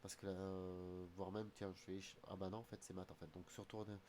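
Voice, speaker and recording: Low-voiced man with a slight cold. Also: little pitch movement, brisk, dark tone, slight background noise. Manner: mutters move calculations to himself, half-finished phrases. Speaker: chess streamer. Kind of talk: thinking aloud while playing online chess. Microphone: streaming desk mic.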